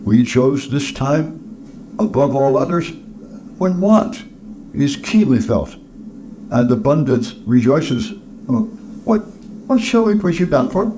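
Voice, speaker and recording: A man's voice: medium pitch, very animated, slow, pauses often, mellow, pitched above the performer's own voice. A man puts on a polite charity collector from a storybook, in a raised voice. He is formal and earnest, hesitating and stammering deferentially. The recording has noticeable noise. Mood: hesitant, pleading.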